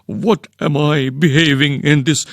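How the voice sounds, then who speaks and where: A man's voice: a little high, steady tone, unhurried, gravelly, no room sound, clean studio sound. A man reading the part of an effusive old landowner in a play, gushing and full of endearments. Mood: overjoyed.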